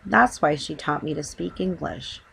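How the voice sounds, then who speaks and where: A woman's voice: sits low, measured pace, sharp tone, full character voice, rough recording. Small young bear acted by a woman, polite, earnest, self-important, precise children's storybook character. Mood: earnest, confiding. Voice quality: small, clear voice.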